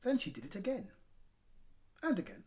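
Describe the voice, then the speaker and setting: Male children's presenter, storytime narration, highly varied pitch, encouraging, direct address. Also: wildly swooping pitch, moderate pace, darkish tone. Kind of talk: livestreamed storytime talk and lively story narration.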